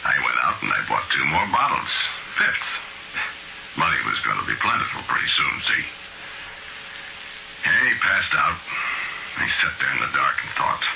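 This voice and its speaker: A man's voice: extremely low pitch, sing-song, normal speed, creaky, pauses often, noisy background, heavily acted. An actor playing a shifty small-time crook, telling his story in colloquial slang as 1940s radio noir. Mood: confiding, sly. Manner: colloquial, confiding, ungrammatical. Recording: muffled old radio recording with music.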